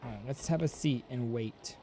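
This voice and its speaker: A woman with a low voice commentates casually on a game. She is playful and slangy, talking to her chat as a streamer. Faint game music runs underneath. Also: normal speed. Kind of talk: casual live gameplay commentary.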